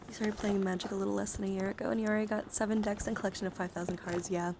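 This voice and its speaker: A woman livestream host chatting casually, often dropping into soft murmurs and whispers. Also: mid-range voice, rapid-fire. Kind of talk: casual live-stream chat. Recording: steady background noise.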